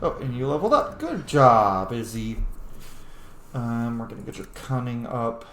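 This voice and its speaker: A man's voice: medium pitch, big pitch swings, normal speed, fairly bright tone. A man giving casual, offhand gameplay commentary and joking to himself as he plays. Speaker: gaming video host. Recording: roomy echo, faint game music behind. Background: faint game music.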